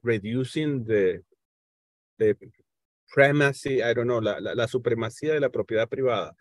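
A middle-aged man with a Venezuelan Spanish accent and a medium-pitched voice hosts a political discussion over a video call. He searches for words, stammering and repeating them. The sound is close and dry. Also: moderate pace, dry recording. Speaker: host of an online panel discussion. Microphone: earphones on a video call.

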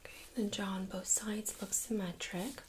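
A young woman speaks in a soft, breathy, whispery voice, gently and politely giving step-by-step instructions in an ASMR medical role-play. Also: mid-range voice, steady tone, normal speed, slight background noise, poor audio. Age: young adult. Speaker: ASMR performer playing a medical examiner. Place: small indoor studio room.

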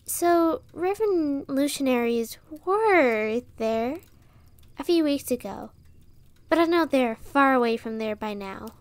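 A woman's voice: sits high, expressive, slow, smooth, no echo. A woman playing an eager, plucky, clever young girl helper in a fantasy audio roleplay, earnest and youthful. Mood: eager scheming.

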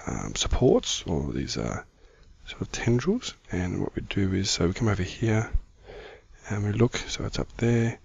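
A middle-aged man with a croaky voice, giving casual, easygoing gaming commentary as the host of a video. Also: fairly low pitch, big pitch swings, a little slow, no echo, highs cut off. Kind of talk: casual Minecraft let's-play commentary.

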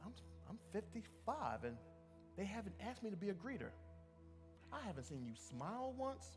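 High-voiced emphatic male pastor preaching. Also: big pitch swings, moderate pace, long reverb tail, music in the background. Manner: emphatic, with repeated restarts and calls to the congregation. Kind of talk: sermon. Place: church sanctuary.

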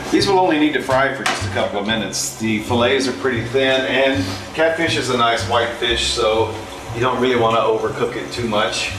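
A man's voice: medium pitch, distant mic. A man in his fifties hosting a casual cooking demonstration in a commercial kitchen, chatting and joking as he cooks. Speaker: cooking show host. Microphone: far camera. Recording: roomy kitchen from off-mic.

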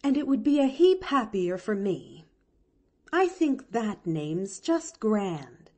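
A good-hearted young servant woman from a storybook, played by a woman reader, blunt and given to grumbling. She talks in folksy rustic phrases, with pitch that moves in lively swings. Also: slow. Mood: sulky retort. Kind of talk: expressive audiobook character reading.

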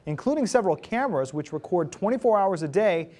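A man's voice: high voice, lively intonation, fast, a little mellow. An American man in his thirties reading scripted news as a TV anchor. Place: TV news studio. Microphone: lapel mic.

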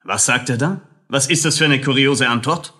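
An actor playing a condescending, melancholic army captain in a radio play, with a fussy, pompous, lecturing manner. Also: male voice, mid-range voice, pushed and bright, close to an everyday voice. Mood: pompous, reproachful. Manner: pompous, slow, drawling, lecturing. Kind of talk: German radio play acting.

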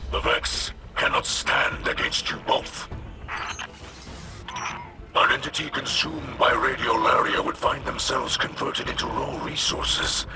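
A man voicing a gentle alien leader in a video game, with a breathy, growly, rasping voice and formal, deliberate speech. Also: mid-range voice, steady tone, background noise, natural voice. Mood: explanatory. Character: gentle, guilt-ridden male alien leader and scholar. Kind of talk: video game drama dialogue.

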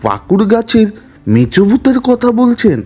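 A man's voice: high-pitched, very animated, moderate pace, warm tone, slightly rough, lo-fi sound, soft background music, heavily acted. A man playing a cautious, anxious villager in a cartoon story, earnest and a little bossy. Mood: worried, wary. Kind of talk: Bengali cartoon story voice acting.

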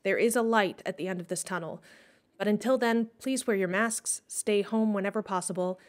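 A woman, a theatre managing director, giving an earnest, measured, articulate scripted address to listeners. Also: medium pitch.

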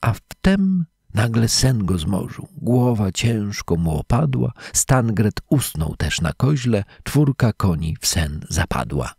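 A man reading a fairy tale in verse aloud, as a measured, dramatic storyteller. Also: lowish voice, big pitch swings, creaky, darkish tone, slightly rough, dry recording, clean studio sound. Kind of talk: expressive scripted fairy-tale narration in verse.

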